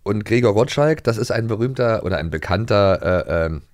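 Relaxed middle-aged man, low voice, talking casually. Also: a little fast, dry recording, clean studio sound. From former East Germany. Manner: reflective, many "äh" and "ähm" fillers. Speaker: podcast co-host. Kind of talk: casual chat about audio dramas.

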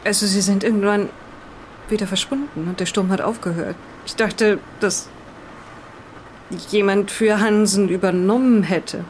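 A woman's voice: mid-range voice, steady tone, a little slow, a little mellow, a little hiss or hum, natural voice. A woman playing an earnest, curious married woman who talks thoughtfully and a little hesitantly in an improvised in-character audio drama. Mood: thoughtful, explaining.

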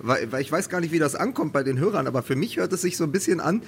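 Wry man, fairly high voice, faint background noise. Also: expressive, racing, vocal fry. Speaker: podcast co-host. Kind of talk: casual humorous football podcast banter.

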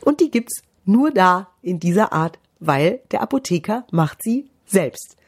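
A middle-aged woman, a vocal coach, giving advice on a podcast and swooping high and low in pitch to show how voices sound. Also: animated, unhurried, slightly rough.

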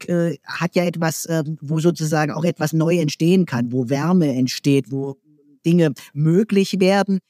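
A man's voice: fairly high pitch, warm tone, no echo, studio quality. A man interviewing, summing up the answers in long, academic sentences. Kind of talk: conversational interview.

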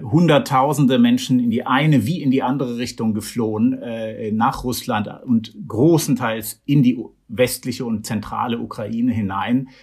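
A man working as a foreign correspondent, answering interview questions in a measured, explanatory way, often pausing with hesitation sounds. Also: mid-range voice, darkish tone, breathy edge.

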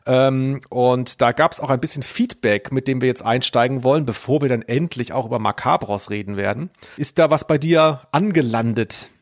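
A man's voice: medium pitch, normal speed, hardly any pauses. A man hosting a podcast in casual, joking chat, with an irreverent, slangy way of talking. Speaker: podcast host.

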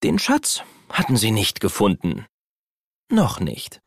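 A man's voice: lowish voice, huge pitch swings, slow, vocal fry, dry recording, clean studio sound. A man narrating a children's audio story from a script, as the storyteller.

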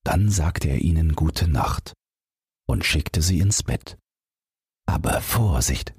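An audiobook man tells a bedtime fairy tale. His voice is extremely low and very husky, and he takes his time in a close, dry sound. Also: darkish tone, no room sound. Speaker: audiobook narrator.

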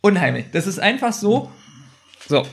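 A man's voice: high voice, very animated, normal speed, vocal fry. A man chatting casually as a podcast co-host, sceptical and insistent in how he talks. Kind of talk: casual chat.

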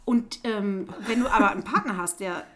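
Eine Frau, die als Co-Host verspielt und neckend plaudert und gern kichert. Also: female voice, medium pitch, moderate pace. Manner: playful, teasing, giggly. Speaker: podcast co-host. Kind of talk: casual chat.

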